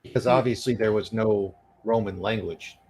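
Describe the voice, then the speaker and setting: A man's voice: lowish voice, fairly even intonation, normal speed, crowd noise. A man in a casual podcast chat, dropping dry sarcastic quips.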